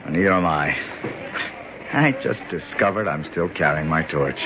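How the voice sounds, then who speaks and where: A man's voice: a bass voice, expressive, normal speed, noisy background. An actor playing a brash, sardonic tough man from the slums in a 1970s radio drama, talking in punchy slang. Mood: wry, determined. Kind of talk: 1970s radio drama acting and first-person narration. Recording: dull vintage radio recording with music.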